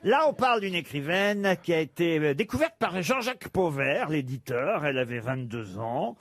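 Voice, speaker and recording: A man's voice: a little high, sing-song, moderate pace, fairly bright tone, no echo. A man hosting a radio panel quiz, playfully teasing the panelists and reading out quotations. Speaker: quiz show host.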